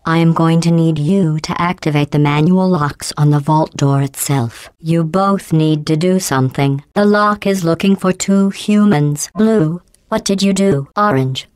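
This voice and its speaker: A cold, sarcastic female AI computer talks to her test subjects in a flat, condescending, passive-aggressive tone. Her synthetic-sounding voice sits low and comes out smooth and clean. The game recording is dry and close, with no room sound. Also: a little slow, few pauses, dry recording. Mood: deadpan. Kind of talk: video game voice clips.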